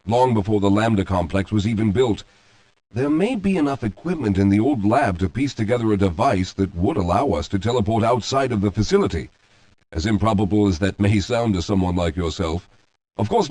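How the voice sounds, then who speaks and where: An actor playing a weary, guilt-ridden scientist in a video game, speaking in a formal, articulate, explanatory way. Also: male voice, fairly low pitch, darkish tone, natural voice. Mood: regretful, explanatory. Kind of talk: scripted video game character dialogue. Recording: echoey hall with long reverb.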